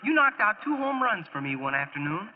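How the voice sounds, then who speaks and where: A man's voice: sits high, very animated, a little fast. An actor playing an eager, grateful boy of about eighteen, earnest, in 1940s radio style. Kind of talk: 1940s radio drama acting. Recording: dull, noisy old radio recording.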